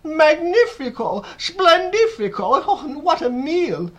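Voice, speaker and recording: A storyteller voicing a fat, greedy, excitable aunt who whoops and gushes in a children's storybook. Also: sits high, slow, pitched above the performer's own voice, exaggerated voice. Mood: gleeful excitement. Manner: whooping, gushing, exclamatory.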